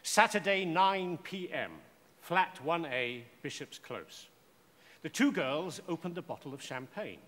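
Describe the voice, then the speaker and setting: A man narrating a scripted short story in a measured, theatrical way. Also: fairly high pitch, animated, a bit bright. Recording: echoey hall with faint noise.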